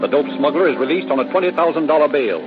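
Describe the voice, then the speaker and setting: A man's voice: fairly high pitch, expressive, fast talker, music in the background, lightly acted. A male actor narrating a vintage radio crime drama, formal, emphatic and melodramatic. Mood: brisk, dramatic. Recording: narrow-band old radio recording.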